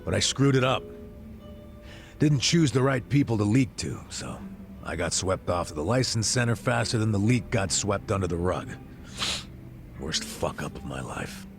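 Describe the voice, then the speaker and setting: Gravelly, blunt middle-aged ex-cop. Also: male voice, fairly low pitch, very animated, moderate pace, soft background music. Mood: rueful. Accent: American. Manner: blunt, streetwise, swearing. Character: disgraced middle-aged ex-cop, gruff, regretful, good-hearted. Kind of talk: video game cutscene dialogue.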